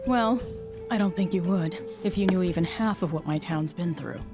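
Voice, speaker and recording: A woman's voice: fairly low pitch, normal speed, music in the background, close to an everyday voice. An actress playing a guarded but hospitable small-town woman in a video game, speaking in a frank, firm way. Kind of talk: video game cutscene voice acting.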